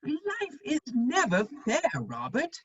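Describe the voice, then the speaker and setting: A woman playing a worldly, witty gentleman counselling a friend in a dramatic play reading, with wry, measured phrasing. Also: high-pitched, wildly swooping pitch, no room sound, dull audio, full character voice. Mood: wry, reassuring.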